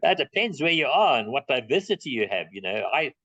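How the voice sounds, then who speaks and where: A man's voice: medium pitch, sing-song, brisk, bright, forceful tone, no echo, highs cut off. A middle-aged South African man answering audience questions in an online talk, plain-spoken and emphatic, repeating his key points. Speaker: guest speaker on reptiles.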